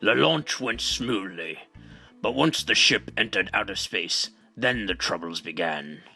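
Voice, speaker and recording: A man acts out a pompous older director from a video game, secretive and theatrical. The voice is low and bright with a heavy creak. He declaims over faint music in an amateur fandub. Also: animated, measured pace, exaggerated voice. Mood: grave. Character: pompous older male space center director, secretive and rattled.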